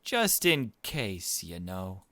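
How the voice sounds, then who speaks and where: A man reading aloud the narration of a gentle, anxious, bookish young girl in a visual novel. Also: deep voice, big pitch swings, slow, dry recording, studio quality, exaggerated voice. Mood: uneasy, confiding.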